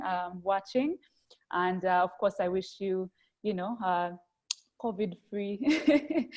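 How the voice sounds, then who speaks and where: A woman's voice: mid-range voice, a little mellow. Brief: grateful Kinyarwanda-native woman wrapping up a webinar, effusive, laughing lightly. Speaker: event organizer giving closing thanks. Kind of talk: closing remarks on a video call. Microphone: video call.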